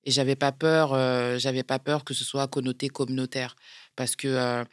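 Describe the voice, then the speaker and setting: A woman with a French accent, a brand founder answering interview questions in a measured way, pausing often to find her words. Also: extremely low pitch, little pitch movement, no room sound, studio quality. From France. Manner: measured, explains step by step with frequent hesitations. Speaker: guest, clothing brand founder. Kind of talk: interview conversation.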